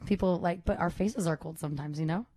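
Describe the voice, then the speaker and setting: A woman's voice: lowish voice, quick pace, dark tone. A young woman in casual podcast chat, joking and laughing easily as she explains beer brewing.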